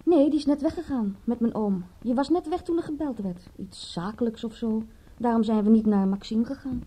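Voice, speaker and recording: Radio-play young woman, played by an actress: flippant, guarded, fairly high yet husky and soft. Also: fairly quick, natural voice, low-quality recording. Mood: casual, curious. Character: flippant, defensive young woman, adopted at twenty-one. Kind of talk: radio drama acting.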